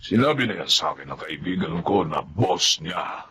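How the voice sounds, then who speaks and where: A man's voice: sits low, animated, normal speed, sharp tone, slight background noise. A man playing a stern, wealthy father and employer who gives orders to his housemaid. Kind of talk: radio drama acting.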